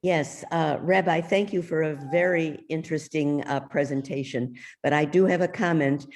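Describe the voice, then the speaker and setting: An audience member on a video call asking a question haltingly, with many pauses. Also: medium pitch, steady tone, a little mellow.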